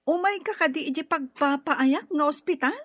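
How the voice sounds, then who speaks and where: High-pitched, effusive godmother. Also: normal speed, fairly bright tone, no echo. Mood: persuasive. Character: affectionate, effusive, persuasive godmother, an older female relative. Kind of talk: Ilocano radio drama acting. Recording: dull, lo-fi radio drama audio.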